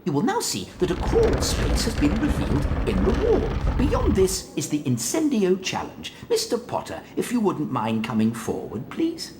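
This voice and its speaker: An actor playing a fussy old British wizard professor in a video game, with formal, precise phrasing. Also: male voice, sits high, wildly swooping pitch, normal speed, hardly any pauses, background noise. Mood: formal, instructing. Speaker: teacher. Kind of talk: video game cutscene acting.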